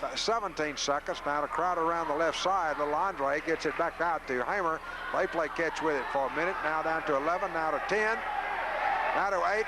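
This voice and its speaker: High-pitched sportscaster over gym noise. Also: male voice. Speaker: radio play-by-play announcer. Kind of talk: live basketball play-by-play commentary. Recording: noisy 1980s local radio broadcast.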